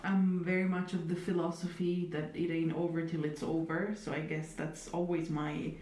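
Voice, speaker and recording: A woman's voice: sits low, little pitch movement, normal speed, a little mellow. A young woman, speaking thoughtfully as an interviewee, with fillers and dry humor. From Italy. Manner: thoughtful, many hedges and fillers, wry humor. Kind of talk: reflective conversational interview. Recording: echoey room, distant mic, faint noise.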